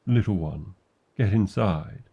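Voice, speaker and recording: Man voicing a deep, dark-toned British dragon. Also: slow, gravelly, breathy edge, lo-fi sound, full character voice. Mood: proud, wry. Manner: theatrical, formal old-fashioned phrasing, tender endearments. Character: huge male dragon lover, proud, protective, wryly disdainful. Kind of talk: fantasy ASMR audio roleplay.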